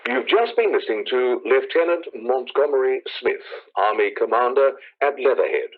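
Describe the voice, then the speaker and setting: A formal male radio announcer, performed by an actor in a 1930s-style drama. His delivery is composed yet urgent, with very lively intonation. The voice comes through thin, phone-like vintage radio sound. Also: mid-range voice, normal speed. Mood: grave, urgent. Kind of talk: 1930s radio bulletin acting.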